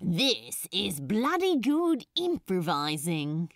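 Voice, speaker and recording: A woman's voice: on the low side, big pitch swings, slow pace, no room sound, studio quality, full character voice. A woman voicing an exuberant, cheeky male demon musician in a storybook reading, bold and insistent. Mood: elated, shouting.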